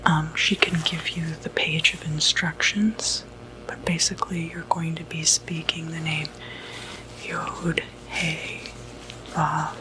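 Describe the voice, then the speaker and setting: A woman playing a gentle, reassuring doctor who treats demonic possession, in a hushed, soothing ASMR medical roleplay. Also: sits low, low-quality recording. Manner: hushed, unhurried, hesitant, soothing.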